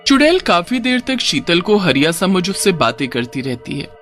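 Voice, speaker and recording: A male storyteller delivers scripted, dramatic narration in a fairly high voice. His pitch moves widely from phrase to phrase, and music plays underneath. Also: sing-song, moderate pace, fairly bright tone.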